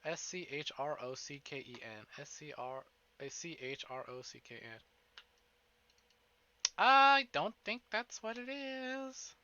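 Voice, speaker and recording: A male streamer with a very bright, sharp and animated voice, pitched a bit high. He sounds expressive and informal on slightly dull livestream audio with faint music behind. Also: a little slow, slight background noise. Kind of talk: casual livestream chat and reading visual novel narration aloud. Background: game music.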